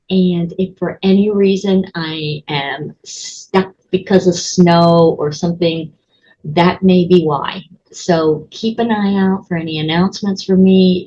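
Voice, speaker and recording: A woman's voice: on the low side, mellow, breathy edge, dull audio. A woman college instructor giving a casual walkthrough of her course, chatty and full of ums and restarts. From Connecticut, USA. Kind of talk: screen-recorded course walkthrough.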